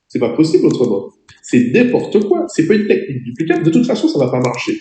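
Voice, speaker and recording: A French man with a cold drives home his points to a webinar audience, pressing and exhorting them directly. His voice sits very dark and mellow, and it rings with room echo over the video call. The delivery is that of an insistent trainer. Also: fairly high pitch, huge pitch swings, fast talker, slight background noise. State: has a cold, voice a bit off. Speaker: network marketing trainer.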